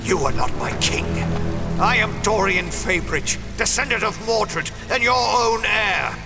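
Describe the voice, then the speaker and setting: A man's voice: high voice, expressive, a little slow. A man acting as a proud, vengeful villain in a fan audio drama, theatrical and defiant as he claims his birthright. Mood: haughty, defiant. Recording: loud music under the voice.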